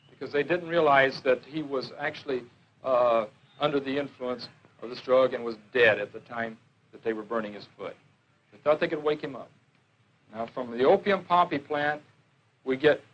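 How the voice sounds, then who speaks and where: A man's voice: a little high, a bit bright, muffled recording, a little hiss or hum. A man giving an informal classroom slide lecture as a police drug educator, on a 1970s educational film soundtrack. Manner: questioning, repeats and confirms answers.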